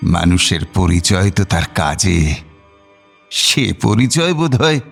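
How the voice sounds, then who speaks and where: An actor playing a calm, thin old village schoolmaster with a measured, dryly ironic manner in a radio drama. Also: male voice, low-pitched, vocal fry, faint music behind, exaggerated voice. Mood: calm, wry. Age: older.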